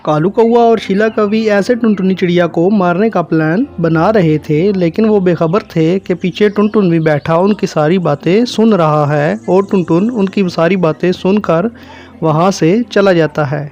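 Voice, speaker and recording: A man's voice: high-pitched, moderate pace, a little mellow, highs cut off, soft background music. A man reading scripted narration for a children's cartoon story.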